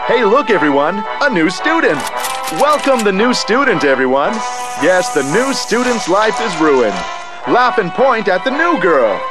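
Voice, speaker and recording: A man's voice: high voice, very animated, measured pace, loud music behind the voice. A man playing a mock-cheerful, sinister school announcer, narrating a parody educational film in a cartoon game.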